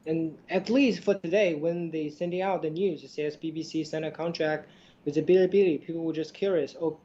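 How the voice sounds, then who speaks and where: Mellow-voiced man speaking non-native English. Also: on the high side, steady tone, brisk, lo-fi sound. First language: Chinese. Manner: non-native English, hesitant, many fillers. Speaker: podcast co-host. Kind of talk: casual podcast chat.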